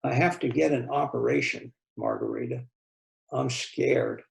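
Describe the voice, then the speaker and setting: A man's voice: mid-range voice, measured pace, gravelly, echoey room. A man voicing an anxious, affectionate man in his twenties with Down syndrome in a read-aloud story, speaking simply and hesitantly. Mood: frightened, hesitant. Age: 20s.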